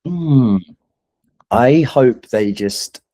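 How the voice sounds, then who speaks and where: A man's voice: medium pitch, lively intonation, extremely slow, dark tone. A man who is a singer-songwriter guest in a casual interview, speaking in a hesitant, thoughtful, self-deprecating way.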